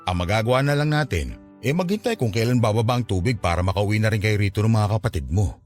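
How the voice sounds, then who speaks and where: A man plays a patient, poor middle-aged father in a radio drama. His low voice swings expressively, earnest and consoling, over faint music. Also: expressive, fast, lightly acted. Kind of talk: Tagalog radio drama acting.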